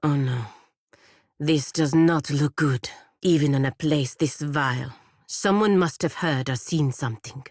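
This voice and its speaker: A proud, dutiful warrior woman in a fantasy game, her voice low and close in a dry space. She speaks, formal and measured, with a sharp, disdainful edge. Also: dry recording. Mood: concerned, wary. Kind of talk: scripted fantasy video game dialogue.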